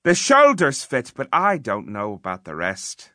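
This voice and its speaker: Man putting on a loud, bright, doting grandmother. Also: mid-range voice, wildly swooping pitch, measured pace, dry recording, clean studio sound, exaggerated voice. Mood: cheerful, doting. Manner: loud, gushing, emphatic.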